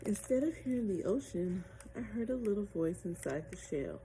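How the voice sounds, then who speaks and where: A woman narrating a children's picture book aloud in an expressive storytelling style. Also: medium pitch, normal speed. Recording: noticeable background noise.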